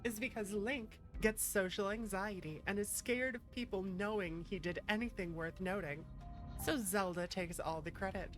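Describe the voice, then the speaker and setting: Woman streamer playing a game, casual n slangy, teasing chat, sweary, music loud behind her. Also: medium pitch, normal speed. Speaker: streamer, virtual YouTuber. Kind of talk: casual livestream gameplay commentary.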